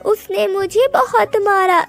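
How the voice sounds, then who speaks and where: Cartoon boy crow played by a woman: tiny piercing voice, slow childish whining, cheeky tattletale. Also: extremely high pitch, steady tone, creaky, soft background music, exaggerated voice. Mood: wailing. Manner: wailing, childish complaining.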